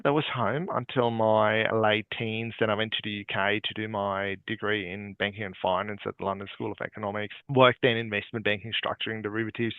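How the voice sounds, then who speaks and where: A man's voice: lowish voice, no room sound. A man who grew up in Singapore, an accountant presenting a webinar in a friendly, informal way over a video call. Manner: friendly, informal, encourages questions. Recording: tinny phone-like video call audio.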